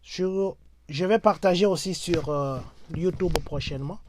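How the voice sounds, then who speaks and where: A man's voice: high-pitched, measured pace, slight background noise. A man with a Congolese French accent, hosting a channel and urging viewers in a repetitive, rhetorical style, slipping into Lingala now and then. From Democratic Republic of the Congo. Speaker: host of a diaspora channel. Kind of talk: exhortative address to viewers.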